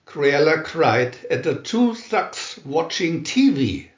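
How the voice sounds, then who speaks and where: Slow, lively man reading a storybook. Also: a little high. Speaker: storybook narrator. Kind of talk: scripted children's story reading. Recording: roomy, echoey, dull sound.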